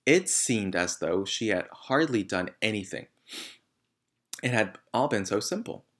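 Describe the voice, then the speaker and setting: A man's voice: fairly low pitch, lively intonation, unhurried, a bit bright. A man reading a children's novel aloud as narrator. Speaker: reader.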